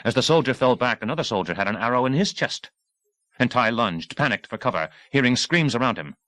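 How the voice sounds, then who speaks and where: A man reading an action novel as an audiobook narrator, with intense, deliberate phrasing in short bursts. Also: medium pitch, animated, a bit bright, no room sound.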